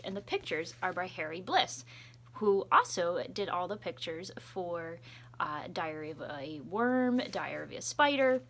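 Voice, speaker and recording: A woman teacher reading a story aloud to children with expressive, deliberate phrasing. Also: mid-range voice, slight background noise.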